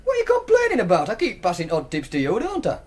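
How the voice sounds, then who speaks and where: A cocky young Northern English student in a radio play. His voice sits high with lively swings in pitch, and his delivery is casual and wry. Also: fast talker, a little hiss or hum. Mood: breezy. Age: young adult. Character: Mark, cocky young student with a betting knack. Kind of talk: naturalistic radio drama dialogue.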